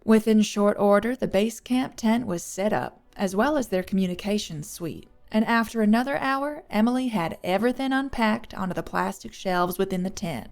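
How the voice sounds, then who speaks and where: A woman's voice: medium pitch, normal speed, creaky, hardly any pauses, darkish tone, soft background music. A woman reading scripted third-person fiction as an audiobook narrator.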